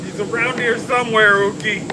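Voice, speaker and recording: Guy acting as high voiced jumpy henchman, urgent, old radio show, really noisy recording. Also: fairly even intonation, normal speed, close to an everyday voice. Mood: agitated. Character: excitable henchman calling to his partner.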